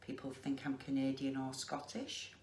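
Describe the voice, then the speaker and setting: A middle-aged woman with a Lancashire accent, chatting casually to camera in a slow, deliberate way with many ums. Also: very deep voice, a little mellow. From England. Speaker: craft vlogger. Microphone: facing the camera. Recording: echoey room with faint noise.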